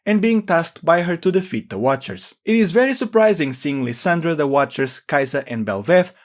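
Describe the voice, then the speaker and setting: A man's voice: fairly high pitch, expressive, moderate pace. A man hosting a video game lore explainer, reading scripted narration.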